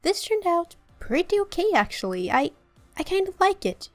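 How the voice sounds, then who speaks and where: A woman's voice: very high voice, sing-song, normal speed, faint music behind. Chatty Swedish woman with a sore throat. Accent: southern Swedish. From southern Sweden. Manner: chatty and playful, giggles between phrases. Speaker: gaming channel host. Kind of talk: casual let's-play commentary.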